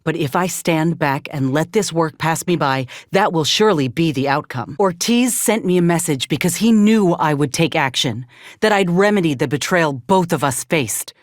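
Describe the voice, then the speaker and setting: An earnest, steadfast female ex-soldier from a video game space frontier. Her is folksy and plainspoken, with measured delivery. The sound is very dry and close, without room. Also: low-pitched, expressive, no echo. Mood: earnest, determined. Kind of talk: video game character dialogue.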